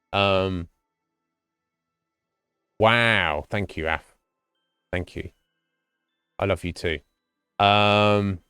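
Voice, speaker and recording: Low-voiced man musing on stream. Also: fairly bright tone, no echo, clean studio sound. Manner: thoughtful, halting, talks his answers through slowly. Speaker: Twitch streamer. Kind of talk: casual live-stream chat with viewers.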